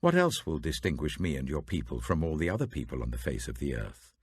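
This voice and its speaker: A troubled British prophet and leader pleads earnestly in a scripture audiobook, played by a male narrator. His voice is deep and husky, swinging expressively as he presses each point. The delivery is emphatic and dramatic. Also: big pitch swings, fast talker, no echo, studio quality, heavily acted. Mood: earnest entreaty.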